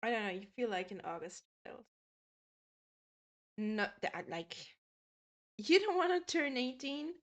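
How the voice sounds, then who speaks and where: Slow, giggly young woman chatting with chat. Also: fairly high pitch, lively intonation. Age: 20s. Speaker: streamer. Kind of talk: casual chat with stream viewers.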